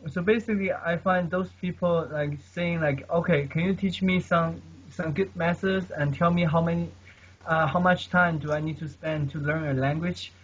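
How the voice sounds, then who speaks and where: A man's voice: on the high side, fairly even intonation, moderate pace, slight background noise. A man, a polyglot, giving earnest advice on language learning in casual conversation, often tagging his sentences with "okay?" and many fillers. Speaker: guest polyglot. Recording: dull lo-fi recording.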